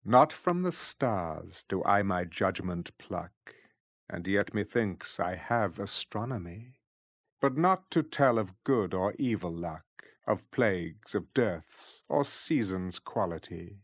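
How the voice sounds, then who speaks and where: A man giving a deliberate recital of classic verse. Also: deep voice, lively intonation, husky, dry recording, clean studio sound. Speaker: poetry reader.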